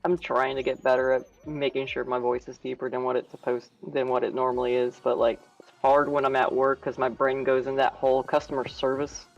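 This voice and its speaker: A man with a light, feminine-sounding voice, chatting casually as a stream co-host and quickly correcting himself. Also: mid-range voice, level tone, creaky, dull audio, faint music behind. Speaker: livestream co-host. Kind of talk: casual chat.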